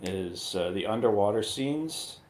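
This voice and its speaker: A man speaking as a guest reviewer in casual, somewhat halting chat. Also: fairly low pitch, lively intonation.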